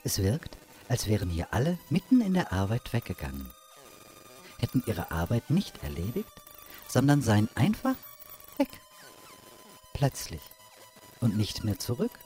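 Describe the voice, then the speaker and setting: An actor playing a mysterious narrator who guides the listener in a hushed, suggestive way in an audio drama. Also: medium pitch, wildly swooping pitch, a little slow, long pauses, soft background music. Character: mysterious narrator guiding the listener, addressing 'you'. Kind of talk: atmospheric second-person audio drama narration.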